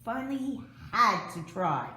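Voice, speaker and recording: A woman reading a children's storybook aloud expressively, with dramatic emphasis and drawn-out words. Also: a little high, big pitch swings, sharp tone, echoey room. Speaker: storybook reader.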